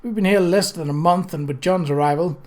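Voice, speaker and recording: A man's voice: high voice, fairly quick, a little mellow, close to an everyday voice. A man voicing a nonchalant, jocular and mischievous male writer in an audiobook. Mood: matter-of-fact. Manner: breezy, playful. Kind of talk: audiobook character voice.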